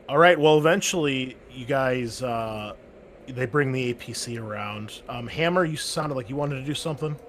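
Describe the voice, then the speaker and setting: A man narrating as game master, relaxed and full of ums and uhs. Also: medium pitch, normal speed, slight background noise. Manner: relaxed narration with many fillers.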